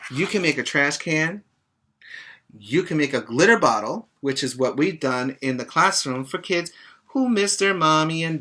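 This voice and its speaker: A middle-aged man, a preschool teacher, reading and explaining to young children in a simple, expressive way with short asides. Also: a little high. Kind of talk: reading a children's book aloud and chatting to young pupils. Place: small room, indoors. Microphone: webcam or laptop mic at arm's length.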